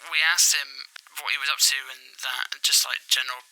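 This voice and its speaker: Sharp, bright-voiced chatty bloke. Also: male voice, mid-range voice, steady tone, moderate pace, poor audio. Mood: amused, rambling. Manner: rambling, colloquial, hesitant. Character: chatty, sheepish local man, anonymous relative of a killer. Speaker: anonymous interviewee. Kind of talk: casual phone interview chat.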